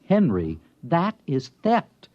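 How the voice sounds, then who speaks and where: An actor playing an anxious, rule-bound office worker in a 1950s radio drama of a regimented future, earnest, agitated and stammering. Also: male voice, on the high side, wildly swooping pitch, slow pace, exaggerated voice. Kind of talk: 1950s radio drama acting with first-person narration. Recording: dull 1950s radio recording, music behind.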